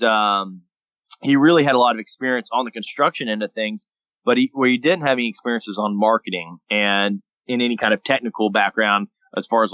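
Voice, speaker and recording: A man in his thirties from Texas, a guest telling his story in a relaxed, rambling way on a podcast. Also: lowish voice, no room sound. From San Antonio, Texas, USA. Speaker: guest, daily vlogger and entrepreneur. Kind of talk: casual podcast interview. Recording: dull lo-fi dry sound.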